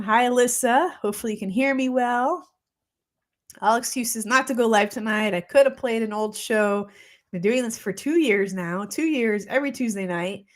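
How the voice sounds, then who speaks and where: A woman in her forties, a holistic practitioner, chatting on a live stream in a reflective way with a slightly congested voice. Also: mid-range voice. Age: 40s. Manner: chatty and reflective, many fillers. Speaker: holistic practitioner hosting a weekly live show. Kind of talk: casual live-stream talk, greeting viewers. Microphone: streaming mic.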